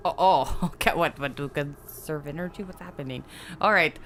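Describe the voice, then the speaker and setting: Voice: medium pitch, moderate pace, fairly bright tone, slight background noise. A tabletop game player bantering casually in character, incredulous, questioning and wry.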